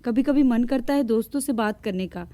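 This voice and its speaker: A woman's voice: on the high side, a little fast, mellow, no room sound, lightly acted. A woman voicing an aggrieved young daughter-in-law in a moral-story cartoon, plaintive and earnest. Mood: pleading.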